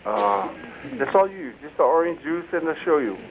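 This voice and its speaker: A man calling in by phone, asking halting questions. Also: on the high side, sing-song. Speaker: caller. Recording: muffled phone line with hiss.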